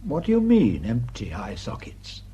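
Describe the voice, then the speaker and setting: A man's voice: medium pitch, huge pitch swings, measured pace, warm tone, highs cut off, slight background noise. A man acting a courteous, inquisitive police superintendent in a radio drama. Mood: probing.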